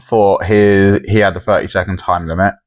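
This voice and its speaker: A man's voice: low voice, little pitch movement, moderate pace, highs cut off. A man co-hosting a podcast, chatting casually and commenting on contestants' attempts. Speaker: podcast co-host.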